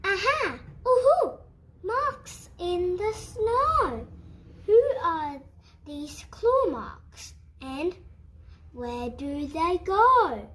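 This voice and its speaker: A woman's voice: very high voice, sing-song, extremely slow, a bit bright, voice pushed up, full character voice. A woman voicing a curious, adventurous young female monster child in a children's storybook, with exclamations and wondering questions. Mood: excited, curious. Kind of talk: children's storybook read aloud.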